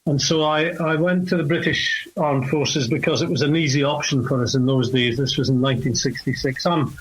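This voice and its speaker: A Scottish man in his sixties, calling in to a radio talk show and speaking in a measured, hesitant way with many fillers. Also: on the high side, steady tone, breathy edge, rough recording. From north of Scotland. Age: 60s. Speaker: caller.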